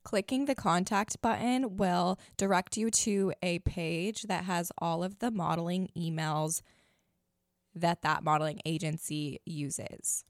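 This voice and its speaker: Woman, 20s, podcast host; raspy, gravelly, low-pitched, blunt and chatty delivery. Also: a little mellow, no room sound, clean studio sound. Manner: blunt, chatty, many fillers. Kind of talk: casual advice chat.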